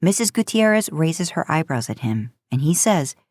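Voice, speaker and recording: A woman's voice: low-pitched, very animated, normal speed, a little mellow, dry recording, clean studio sound. A woman narrating a written story in the manner of an audiobook, reading from a script. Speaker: podcast host and fan fiction narrator.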